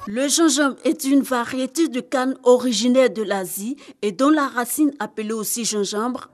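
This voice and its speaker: Woman reporter. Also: a little high. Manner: measured, formal delivery. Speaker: news reporter. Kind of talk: scripted news narration.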